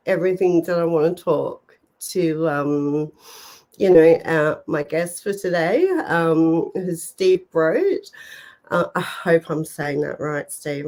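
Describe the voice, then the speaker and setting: A woman's voice: fairly low pitch, a little mellow. A woman hosting a live online show, chatting casually with viewers while getting over a cold, her voice stuffed up, with lots of fillers and small laughs. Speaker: host. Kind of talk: casual live-stream chat.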